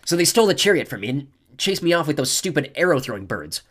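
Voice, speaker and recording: A man's voice: on the high side, very animated, fast, fairly bright tone, pitched above the performer's own voice. A man voicing a tough, angry teenage warrior girl who growls and snaps defensively. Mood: upset, defensive. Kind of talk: audiobook character voice.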